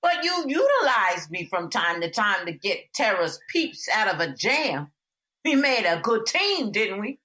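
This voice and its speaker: A woman's voice: medium pitch, very animated, measured pace, sharp tone. A woman playing Anger as a personified emotion in an audio drama, fierce, rebellious, brash and taunting. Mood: cocky.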